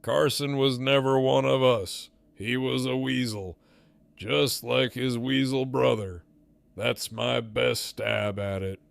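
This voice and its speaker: A man playing a smug, menacing gang leader in an audio drama, with a sardonic, profane, deliberate drawl. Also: mid-range voice, steady tone, vocal fry, dry recording. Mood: smug, threatening.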